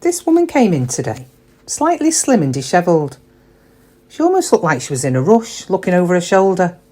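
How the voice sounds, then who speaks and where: An actress playing a middle-aged British shop worker in a confiding, wry, conversational monologue, kind but quietly weary. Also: female voice, lowish voice, very animated, brisk. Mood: pensive, confiding. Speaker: actor in a dramatic monologue.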